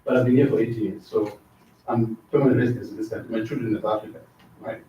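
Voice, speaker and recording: A man's voice: lowish voice, fairly even intonation, fast, mellow, slight background noise. A middle-aged man from Zambia teaches a class in a casual lecture style, often checking in with "right?" and repeating words as he goes. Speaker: trainer. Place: training classroom. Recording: echoey room, distant mic, dull sound.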